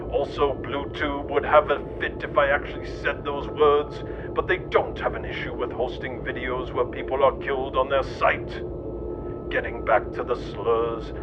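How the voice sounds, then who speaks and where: Male satirical narrator: dry mockery, restrained pitch movement, slightly muffled lo-fi track with prominent music bed. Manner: sardonic, mocking. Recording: dull lo-fi sound, music behind. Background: clear background music.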